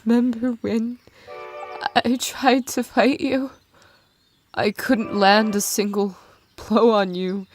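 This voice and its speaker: A woman voicing a dying female monster warrior who speaks weakly in halting, tearful phrases over music in a fan dramatic reading. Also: a little high, steady tone. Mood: wistful, fading. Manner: halting, weak, broken phrases. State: struggling to breathe, tearful. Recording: music bed with birdsong behind.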